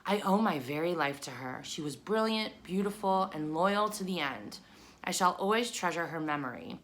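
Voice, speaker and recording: A woman's voice: on the low side, lively intonation, moderate pace, fairly bright tone, slight background noise. A woman voicing a young male pig, earnest, emotional and tender-hearted, in a children's storybook read-aloud. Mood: earnest, devoted.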